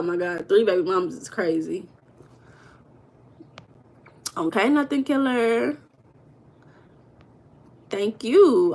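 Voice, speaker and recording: A woman from Los Angeles with an American accent, hosting a casual livestream and reading viewer comments aloud with teasing, slangy banter. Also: medium pitch. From Los Angeles, USA. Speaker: livestream host.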